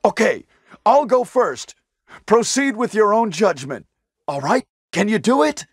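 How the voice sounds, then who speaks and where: A man's voice: sits high, sing-song, measured pace, husky, no room sound, studio quality, exaggerated voice. A man playing an earnest young police action hero in a horror video game, with stilted, melodramatic phrasing. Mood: commanding.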